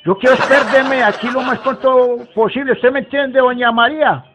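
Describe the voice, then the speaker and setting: Agitated old Antioquian countryman, extremely high voice, folksy, rambling, sweary, very noisy phone recording. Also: steady tone, moderate pace. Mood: urgent, pleading. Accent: Colombian, Antioquia. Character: rustic, foul-mouthed, agitated 78-year-old mountain man. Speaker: prank caller. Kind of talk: prank phone call, improvised character.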